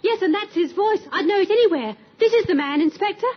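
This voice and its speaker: High-voiced earnest maid. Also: female voice, expressive, fast. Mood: certain, accusing. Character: earnest, deferential hotel maid witness. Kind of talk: vintage radio drama acting. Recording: dull, noisy old radio recording.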